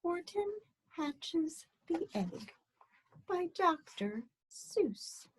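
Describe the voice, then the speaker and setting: A woman presenting a storytime for children, speaking to them directly in a deliberate, theatrical way. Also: very high-pitched, very animated, poor audio. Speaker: guest storybook reader for children.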